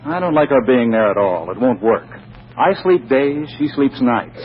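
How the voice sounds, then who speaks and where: An actor playing an indignant, impatient young fiance in a 1940s radio comedy, on a muffled, noisy recording. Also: male voice, mid-range voice, lively intonation, moderate pace, lightly acted. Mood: irritated.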